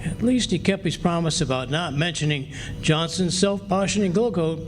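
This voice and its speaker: A man's voice: fairly high pitch, moderate pace, lightly acted. A man playing a blustering, gullible, grumbling husband in an old-time radio comedy. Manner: grumbling, excitable, boastful. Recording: noisy live stage recording.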